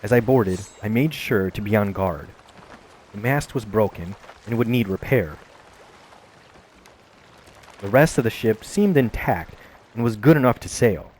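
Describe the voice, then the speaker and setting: A man narrating a first-person adventure story in a measured, calm storytelling manner. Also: fairly low pitch, slight background noise. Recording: faint music behind voice.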